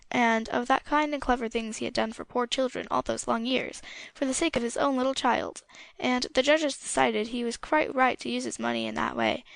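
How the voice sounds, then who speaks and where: A high-voiced woman narrates a story from a script. Her audiobook reading has some creak in it and a dry, close sound. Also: dry recording.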